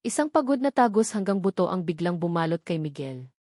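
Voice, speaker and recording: A woman with a Filipino accent narrates a scripted dramatic story in a measured, solemn way with deliberate pauses. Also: lowish voice, big pitch swings, dark tone, no room sound, clean studio sound. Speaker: audiobook narrator.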